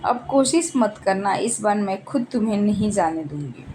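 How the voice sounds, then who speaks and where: A woman's voice: medium pitch, expressive, normal speed, a little mellow, slight background noise, natural voice. Woman reading as a wistful young college girl. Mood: tearful, loving. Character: playful young college woman hiding sorrow. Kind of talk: acted storybook dialogue.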